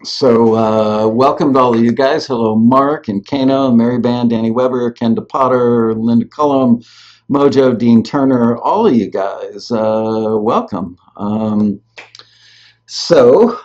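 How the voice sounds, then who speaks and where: A man with a mid-pitched voice hosts a live interview show. He chats casually and jokes as he rambles along. The audio is slightly dull and lo-fi, like a web stream. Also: slow pace, darkish tone.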